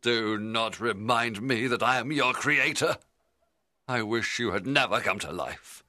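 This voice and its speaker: A man playing a horrified, remorseful scientist telling his tale in a dramatised audiobook, with intense, expressive delivery. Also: sits low, measured pace, no echo, clean studio sound, heavily acted. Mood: furious, remorseful. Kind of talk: dramatised literary audiobook narration.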